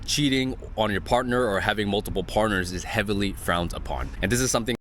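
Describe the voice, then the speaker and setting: Fast-talking low-voiced American man. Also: fairly bright tone, slight background noise. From Los Angeles, United States. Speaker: travel vlogger. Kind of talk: casual vlog narration.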